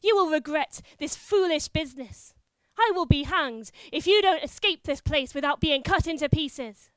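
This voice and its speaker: A woman's voice: high-pitched, lively intonation, moderate pace, no echo. A woman voicing a fierce wife disguised as a knight, speaking in a commanding, menacing way.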